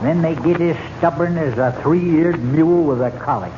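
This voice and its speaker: A man's voice: fairly high pitch, moderate pace, lightly acted. A man playing a crusty, hot-headed old cowboy sidekick with a rural Western American accent and folksy slang in a radio western. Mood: grumbling, folksy. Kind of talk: 1940s radio western acting. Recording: dull, noisy old radio recording.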